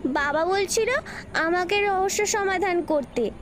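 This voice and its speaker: Very high-pitched curious young girl, voiced by a woman. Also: slow pace, background noise. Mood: eager, curious. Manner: inquisitive, earnest. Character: curious, eager twelve-year-old girl. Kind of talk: audio story acting.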